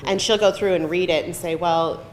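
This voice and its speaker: A woman's voice: steady tone, fairly quick. A middle-aged woman screenwriter casually answering panel questions in a chatty, self-deprecating way. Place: live film festival event room. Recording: echoey room, audible noise and rumble.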